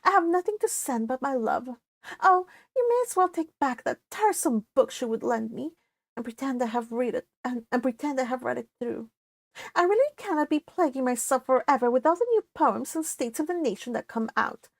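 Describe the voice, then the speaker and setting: A vain young Regency society lady, as a woman reader puts her on, lifted high in pitch. She is bored and disdainful, gossiping in a haughty, dismissive way. The storybook reading has a very dry sound. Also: animated, fairly quick, no echo.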